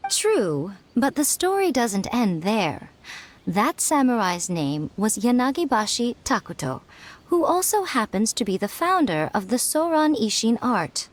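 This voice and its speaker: An ancient fox spirit lady for an anime game. She is sly and playful with a fairly high, lively pitch. She speaks in a measured, teasing way, like a patient philosopher.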